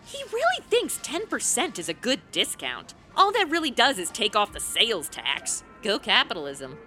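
A woman plays a brash influencer publicist in a radio comedy. Her voice is high, bright and animated, and she talks in a breezy, sardonic way over faint music. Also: normal speed, heavily acted. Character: brash, self-promoting young publicist, an influencer. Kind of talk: comic radio play acting.

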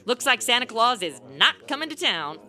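A woman's voice: a little high, moderate pace, full character voice. An actress playing a sarcastic, self-absorbed young woman in a comedic radio play, flippant and slangy. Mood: teasing. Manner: deadpan quips, slangy, flippant.

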